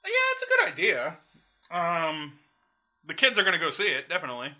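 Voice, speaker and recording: A man with a slightly high, bright voice talks casually as a podcast co-host. His delivery is very animated, with an irreverent, joking way of making his point. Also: a little fast. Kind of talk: casual chat, joking commentary.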